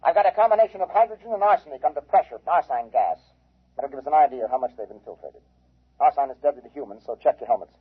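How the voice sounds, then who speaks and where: A man acting as a brisk, authoritative army major giving orders in a 1950s radio drama. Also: mid-range voice, lively intonation, breathy edge. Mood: tense, commanding. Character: brisk commanding army major briefing a suited team. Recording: tinny, phone-like 1950s radio recording.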